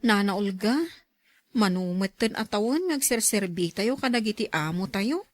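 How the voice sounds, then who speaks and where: Actress as a lively, complaining housemaid. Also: female voice, mid-range voice, normal speed, no room sound, lightly acted. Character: discontented, complaining female household servant. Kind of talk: Ilocano radio drama acting.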